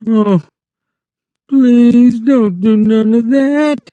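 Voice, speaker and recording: A man voicing a big, simple-minded, frightened man who pleads slowly in rustic grammar. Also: sits high, animated, no room sound, lo-fi sound, pitched above the performer's own voice.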